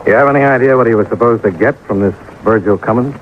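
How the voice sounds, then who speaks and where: An American actor playing a brisk, clipped insurance investigator in a 1950s radio detective drama. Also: male voice, mid-range voice, slight background noise, lightly acted. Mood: probing. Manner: clipped, matter-of-fact. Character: brisk hard-boiled male insurance investigator. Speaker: narrator and lead investigator. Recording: tinny old radio recording, music behind.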